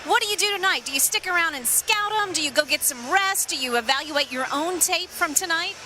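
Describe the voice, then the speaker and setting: A woman sideline reporter asking quick, upbeat postgame questions. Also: extremely high pitch, lively intonation, sharp tone, a little hiss or hum. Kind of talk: postgame interview.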